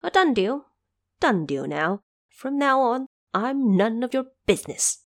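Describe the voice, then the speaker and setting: A woman's voice: on the high side, very animated, a little slow, no echo, studio quality, pitched above the performer's own voice. A woman voicing a defiant, boastful monkey warrior in an audio drama, with an imperious, challenging manner. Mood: brash, triumphant.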